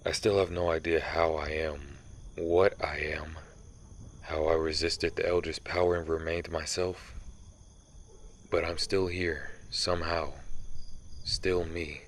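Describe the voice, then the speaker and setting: A man playing a centuries-old vampire hunter in an ASMR audio roleplay, somber, guilt-ridden and resolute, confessing haltingly. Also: rumbling low, level tone, a little hiss or hum. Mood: somber, guilty.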